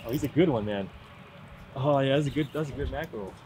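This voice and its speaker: A young man vlogging while fishing on an outdoor sea pier, chatty and excited, talking to viewers into a camera mic. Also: medium pitch, quick pace, slight background noise. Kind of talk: casual vlog narration while fishing. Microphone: camera-mounted shotgun mic.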